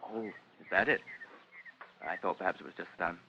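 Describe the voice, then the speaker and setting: A man's voice: low-pitched, fairly even intonation, a little fast, bright, forceful tone, a little hiss or hum. An actor playing a diffident, insecure young British man in his twenties in a vintage radio drama, speaking in a hesitant, apologetic way. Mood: wistful. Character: diffident, insecure young Englishman, the family failure. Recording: dull vintage radio recording.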